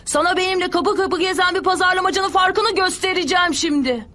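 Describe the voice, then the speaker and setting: Very high, bright, cheeky little boy. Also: brisk. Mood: boastful, threatening. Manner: brash, childish, indignant. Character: small cheeky, boastful, easily offended little boy blader. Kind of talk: dubbed anime acting.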